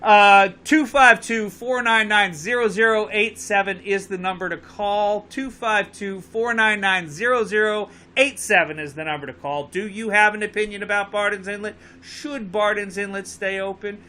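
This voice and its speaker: A man's voice: sits high, steady tone, moderate pace, hardly any pauses, pushed and bright, clear, resonant tone. A man with a Connecticut accent hosting a live call-in show, urging viewers to phone in and repeating the number. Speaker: show host.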